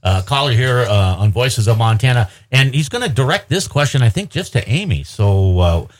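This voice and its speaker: A man hosting a radio panel show, asking his guests questions in a halting way, full of fillers and restarts. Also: mid-range voice, very animated. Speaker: radio talk show host.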